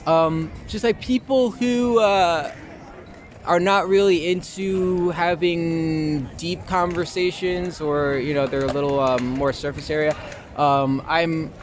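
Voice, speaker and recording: A young American man talking casually and candidly as an interview guest, halting and informal, leaning on "like" and the odd swear word. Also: fairly high pitch, fairly even intonation, slight background noise. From Long Island, New York, US. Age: young adult.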